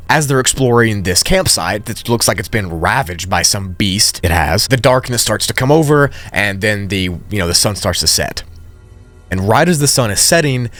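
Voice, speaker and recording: Low-voiced man casually retelling a story. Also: fairly bright tone. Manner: conversational, informal asides. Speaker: video narrator, storyteller. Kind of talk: casual story recap commentary.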